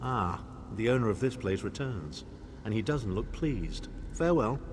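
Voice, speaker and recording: A man's voice: on the low side, expressive, moderate pace, background noise, natural voice. An actor playing a pompous, scornful older male imperial chancellor in a video game, with a haughty, theatrical, condescending manner. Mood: smug, mocking. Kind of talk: video game character acting.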